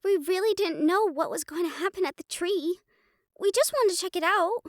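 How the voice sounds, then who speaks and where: A woman's voice: very high-pitched, normal speed, no room sound, studio quality. A woman playing cheeky young women from a troublemaking friend group in an audio drama, flippant and defensive as they protest. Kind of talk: scripted audio roleplay drama acting.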